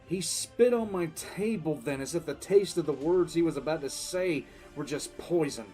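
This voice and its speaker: A man playing a weary, cool-headed military commissar, recounting his past in dramatic, deliberate first-person narration over faint music. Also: a little high, animated, dark tone, close to an everyday voice. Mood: grave, detached. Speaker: narrator and lead voice actor. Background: faint background music.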